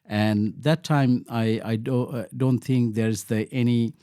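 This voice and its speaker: A man from Bangladesh, a local councillor, answering interview questions in a halting way with many fillers and restarts. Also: mid-range voice, steady tone, mellow, smooth, no echo, clean studio sound.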